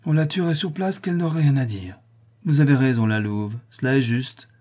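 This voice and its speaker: A man doing a gentle, pleading young woman. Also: medium pitch, a little fast, voice pushed up, natural voice. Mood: sad, bitter. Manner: soft, pleading, calm.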